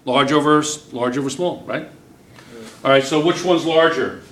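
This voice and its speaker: A man's voice: fairly high pitch, normal speed, fairly bright tone. Man teaching chemistry in an echoey classroom. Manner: encouraging, questioning students constantly. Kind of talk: classroom lesson at whiteboard. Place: classroom with whiteboard. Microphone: far camera. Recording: roomy classroom from a distant camera.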